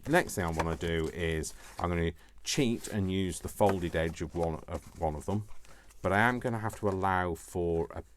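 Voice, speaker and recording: A low-pitched man chats his way through a hands-on craft demonstration. He rambles easily, poking fun at himself with little jokey asides. There is noticeable noise on the recording. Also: moderate pace, hardly any pauses. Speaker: craft tutorial presenter. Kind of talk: casual, chatty craft demonstration. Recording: noticeable background noise, small clicks.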